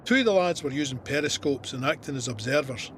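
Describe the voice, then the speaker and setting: An actor with a Scottish accent playing a soldier who narrates his war memoir in a measured, vivid, plain-spoken way. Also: medium pitch, expressive, noisy background, natural voice. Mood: warm, reflective. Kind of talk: scripted first-person war memoir narration.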